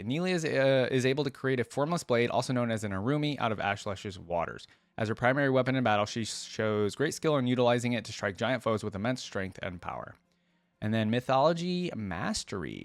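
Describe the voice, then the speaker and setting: A man's voice: mid-range voice, no echo. A man hosting a reaction video, reading lore aloud and breaking off into casual, conversational musing.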